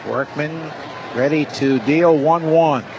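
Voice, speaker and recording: Guy calling a ballgame play by play on radio, higher voice, loud ballpark noise behind him. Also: slow, dull audio. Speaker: baseball play-by-play announcer. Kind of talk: live radio baseball play-by-play. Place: stadium broadcast booth. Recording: steady stadium noise behind voice. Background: loud ballpark ambience.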